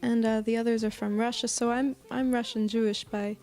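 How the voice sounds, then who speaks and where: An American woman speaking casually in an interview as a singer-songwriter, thoughtful and halting, often pausing mid-phrase. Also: a little high, little pitch movement, darkish tone. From United States. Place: apartment.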